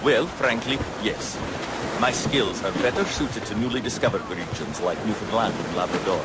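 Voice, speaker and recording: An actor playing a modest, keen navigator with a polite, precise, earnest manner in a period game. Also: male voice, fairly low pitch, moderate pace, close to an everyday voice. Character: courteous, modest but keen explorer and navigator. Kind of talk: period game cutscene acting. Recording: loud sea, wind and ship noise.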